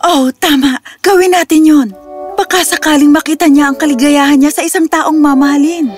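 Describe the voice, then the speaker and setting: Woman playing doting queen mother, high voice, fretful, cartoon fairy tale, faint music. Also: steady tone, a little fast, lightly acted. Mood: hopeful concern. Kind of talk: animated children's story acting.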